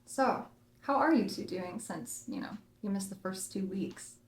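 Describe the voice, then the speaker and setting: A chatty, incredulous female friend acted by a woman in conversational screenplay drama. Her pitch is average for a woman, heard in an echoey room. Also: expressive, unhurried, slight background noise, natural voice. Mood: curious. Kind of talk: dramatic screenplay table read.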